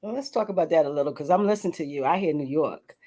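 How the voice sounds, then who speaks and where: A woman co-hosting a radio talk show, chatting with a guest in a playful, teasing, high-energy way. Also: fast, warm tone. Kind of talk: casual interview chat. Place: radio studio.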